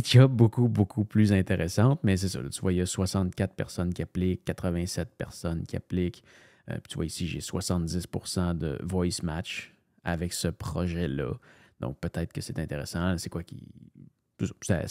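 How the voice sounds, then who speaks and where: Man with a deep, gravelly French-Canadian voice. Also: moderate pace, warm tone, no room sound, clean studio sound. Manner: rambling, many fillers and restarts, thinking aloud. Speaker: voice-over narrator. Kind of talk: casual screen-share commentary.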